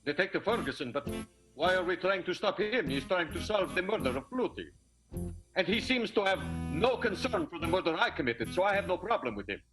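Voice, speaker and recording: A man's voice: sits high, big pitch swings, fairly quick, poor audio. A man playing a dim, earnest Dutchman in an improvised comic radio soap, stammering and wordy in formal phrases. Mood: perplexed, earnest. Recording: guitar music behind the voice. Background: plucked guitar music.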